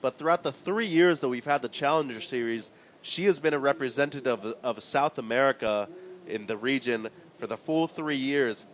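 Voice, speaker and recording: A man commentating live sport, steady and informative as he reads scores and clock times. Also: mid-range voice, moderate pace. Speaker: play-by-play commentator.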